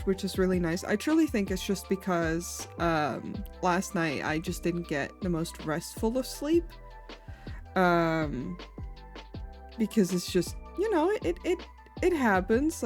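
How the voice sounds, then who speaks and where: A woman's voice: medium pitch, a little mellow. A woman streamer chatting casually with her viewers, a little congested, giggling often. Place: home.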